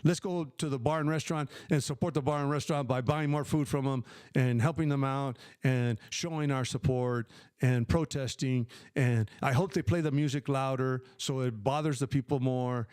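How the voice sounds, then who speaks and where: An older American man, retired, hosting a casual live stream and answering viewer questions in a relaxed, rambling way. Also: medium pitch, normal speed, clear, resonant tone, no room sound. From United States. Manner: conversational, many fillers, answers viewer questions by name.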